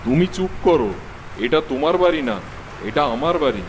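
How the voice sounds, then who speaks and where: A man plays a stingy, domineering cartoon landlord. His voice is fairly high and swings widely in pitch as he bosses and scorns, over clearly noisy ambience. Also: animated, a little fast, natural voice. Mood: scornful. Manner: scornful, bossy. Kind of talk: cartoon dialogue acting.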